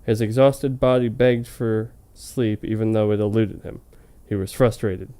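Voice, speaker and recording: A man's voice: fairly low pitch, steady tone, a little slow, dark tone. A man reading fan fiction on a comedy show in a hammy, mock-dramatic way, with casual, amused asides. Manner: hammy, amused, breaking off to comment.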